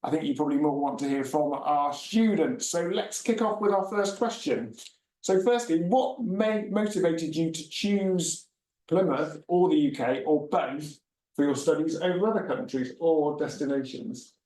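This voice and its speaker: Man, webinar host, slightly high voice, roomy echo, mic set back, chatty with fillers. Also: normal speed, mellow. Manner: friendly, chatty, many filler uhs. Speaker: host, university regional manager. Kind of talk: hosting a webinar panel. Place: university room with a panel. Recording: echoey room, mic at a distance.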